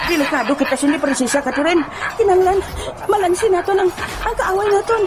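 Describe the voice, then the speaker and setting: Babaeng gumaganap na matinis at sabik na mandirigma. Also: female voice, extremely high pitch, quick pace, background noise. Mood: urgent. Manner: excitable, exclamatory, swooping. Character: excitable warrior with a glowing sword. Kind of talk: comic audio drama acting. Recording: music behind.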